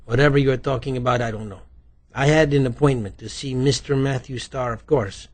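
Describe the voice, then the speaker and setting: A man's voice: mid-range voice, fairly even intonation, brisk, close to an everyday voice. A man voicing a slippery, talkative immigrant bookie with a light Polish accent, evasive and chatty, in an audiobook. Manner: evasive, chatty, questioning tags.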